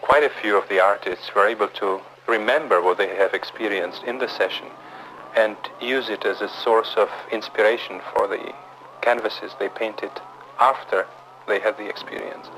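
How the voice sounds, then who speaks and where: A man, a researcher, explaining his work in measured, formal interview talk on an old film soundtrack. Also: fairly low pitch, fairly even intonation, fairly bright tone, slight background noise, poor audio. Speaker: research psychiatrist being interviewed.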